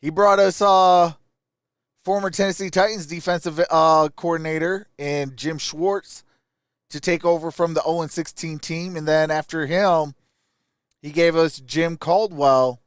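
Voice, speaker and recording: A man's voice: fairly high pitch, fairly even intonation, unhurried, smooth, no room sound, studio quality. A man hosting a live sports stream, giving casual, opinionated commentary and answering viewer comments.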